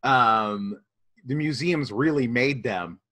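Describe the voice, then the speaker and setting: A man playing an earnest flat-earth conspiracist. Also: mid-range voice, slow pace. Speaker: guest. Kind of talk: improvised comedy character.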